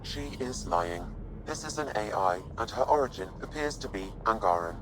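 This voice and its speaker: A man voicing a calm, analytical AI assistant in a video game, precise and detached. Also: lowish voice, moderate pace, noisy background, natural voice. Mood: detached, factual. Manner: precise, detached, matter-of-fact. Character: calm, analytical male AI assistant advising a space explorer.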